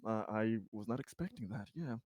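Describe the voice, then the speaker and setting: A man's voice: lowish voice, animated, brisk, a little mellow, no echo. A man playing a tabletop roleplaying game, bantering in a lighthearted, joking manner. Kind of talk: casual in-character banter.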